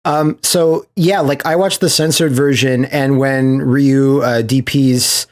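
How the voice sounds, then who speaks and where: A man's voice: medium pitch, fairly even intonation, takes it slowly. A man hosting a podcast, chatting casually in an enthusiastic, rambling way. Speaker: podcast host.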